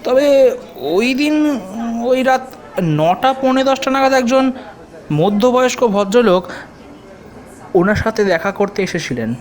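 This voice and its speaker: An actor playing a confident, helpful police officer in an audio detective drama, explaining in a measured way with English terms mixed in. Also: male voice, extremely high pitch, lively intonation, full character voice. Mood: serious, explanatory. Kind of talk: audio drama acting in Bengali detective story.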